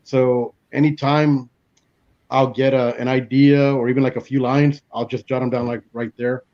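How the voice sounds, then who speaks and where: A man's voice: medium pitch, level tone, moderate pace. A man chatting casually about his craft as a guest author on an online panel, over a video call. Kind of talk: casual chat about writing. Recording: dull, lo-fi video-call audio.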